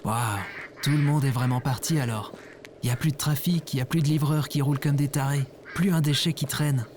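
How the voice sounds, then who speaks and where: An actor playing a lazy, grumbling slacker man in his thirties, casual, slangy and deadpan, in an acted audio comedy. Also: mid-range voice, moderate pace, heavy background noise, lightly acted. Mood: mildly amazed.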